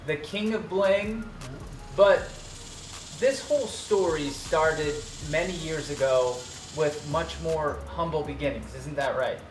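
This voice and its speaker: A man's voice: a little high, takes it slowly, roomy sound, noisy background. A man interviewing a guest for TV, friendly and asking leading questions. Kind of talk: broadcast interview.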